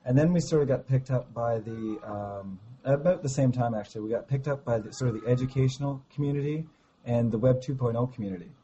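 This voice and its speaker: A man with a slightly hoarse voice from a cold, talking about his startup in a halting, enthusiastic way during an interview call. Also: medium pitch, mellow, highs cut off, slight background noise. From Canada. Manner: halting, many restarts, enthusiastic. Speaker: startup founder guest. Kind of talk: casual interview over a call.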